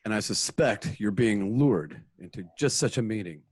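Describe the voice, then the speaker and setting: Stern caped crusader, low voice, husky, dry close sound, radio play. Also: male voice, animated, moderate pace, no echo. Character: stern, measured adult male caped crime fighter. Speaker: voice actor in an online radio play.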